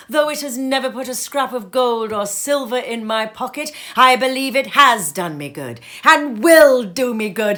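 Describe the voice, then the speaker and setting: A woman's voice: a little high, pitched above the performer's own voice. A woman voicing a cheerful, earnest young man who pleads with his uncle, read at home in a sitting room. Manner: earnest, pleading, warm-hearted.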